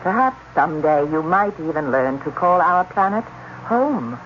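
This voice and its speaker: An actress playing a composed, authoritative alien doctor with measured, formal speech in a radio drama. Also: female voice, on the low side, animated, close to an everyday voice. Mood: reassuring. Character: composed, reassuring alien doctor and explorer, an adult. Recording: muffled, faintly noisy old radio broadcast.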